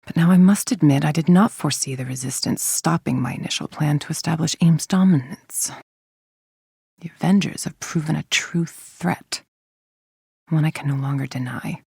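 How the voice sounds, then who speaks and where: A woman playing a cold, calculating villain scientist-executive in a video game, speaking in a measured, imperious, menacing way with a husky, creaky voice. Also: low-pitched, darkish tone, no room sound, studio quality, full character voice. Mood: grudging, tense. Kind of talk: scripted dramatic video game monologue.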